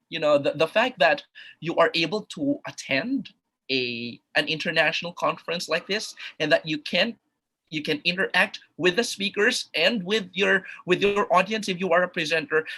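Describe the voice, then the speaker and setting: A man's voice: fairly high pitch, sharp tone. A man from Luzon with a Filipino accent, giving an earnest academic conference talk full of rhetorical questions. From Philippines, Luzon. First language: a regional language of Luzon. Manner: earnest, emphatic, many restarts and rhetorical questions. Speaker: conference keynote speaker. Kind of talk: academic lecture over video call.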